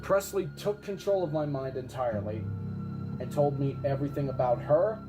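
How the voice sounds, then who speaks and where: A man playing an earnest eyewitness who tells a grave disaster theory in an insistent, suspenseful way with dramatic pauses. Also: medium pitch, animated, lightly acted. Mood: grave, recounting. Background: music behind the voice.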